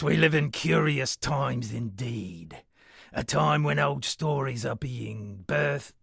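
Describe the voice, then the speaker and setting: Gravelly, eccentric sea captain. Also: male voice, medium pitch, sharp tone, no echo, studio quality, heavily acted. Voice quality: gravelly, husky. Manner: theatrical, rambling, florid old seafaring turns of phrase. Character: eccentric washed-up sea captain and would-be poet. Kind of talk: video game character dialogue.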